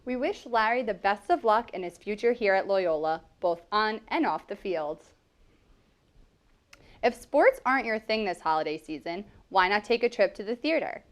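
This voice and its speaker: A woman anchoring a student news show, reading her script in an even, scripted delivery. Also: mid-range voice, moderate pace.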